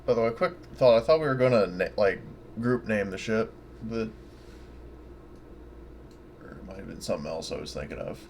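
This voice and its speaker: A man's voice: lowish voice, lively intonation, normal speed, pauses often, darkish tone. A man chatting casually as a player in a tabletop roleplaying game, tentatively thinking aloud. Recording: clear background noise.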